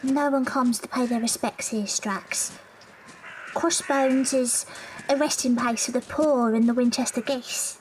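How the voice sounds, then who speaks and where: A woman playing an earnest, loyal young Victorian London maid and investigator, deferential and breathy, in an audio drama. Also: on the high side, fairly even intonation, unhurried, slight background noise, close to an everyday voice, low-quality recording.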